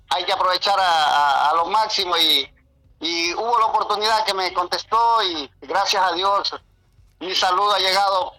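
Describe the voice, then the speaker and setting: A man's voice: high-pitched, bright, forceful tone, no room sound, rough recording. A man calling into a radio show by phone, rambling in a preachy, hesitant way about God and good character. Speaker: caller. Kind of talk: religious phone-in chat.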